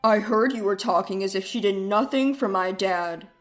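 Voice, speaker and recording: Woman doing a blunt, accusing husband, lowered voice. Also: normal speed, soft background music, close to an everyday voice. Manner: blunt, confrontational. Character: accusing, defensive husband, a grown man.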